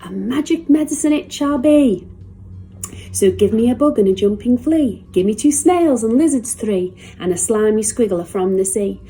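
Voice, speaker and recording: A woman's voice: a little high, normal speed, rough recording. A woman voicing a plucky, scheming eight-year-old boy in a storybook reading. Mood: excited chanting. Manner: excited, scheming.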